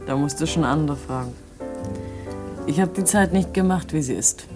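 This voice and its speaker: A woman's voice: low voice, moderate pace, music in the background, lightly acted. An actress in a radio drama playing a bitter, disillusioned young woman, scornful, weary and defiant. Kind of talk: mid-century German radio drama acting.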